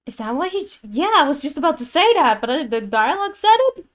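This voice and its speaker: A woman's voice: sits high, big pitch swings, a little fast. A woman streaming a game, giving casual, chatty and reactive playthrough commentary. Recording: faint game music behind.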